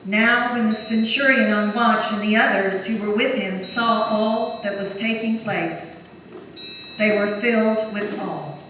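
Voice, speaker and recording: A woman's voice: medium pitch, a little slow, fairly bright tone. A woman reading scripture as narrator in a solemn dramatic style in a church. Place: large church during a service. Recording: very echoey church, distant, faint noise.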